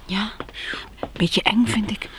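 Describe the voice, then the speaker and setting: A woman's voice: mid-range voice, fairly even intonation, slow pace, noisy background, natural voice. An actress playing a wry, plucky young woman who survived a catastrophe, in a radio drama. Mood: subdued, uneasy. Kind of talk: Dutch radio drama acting.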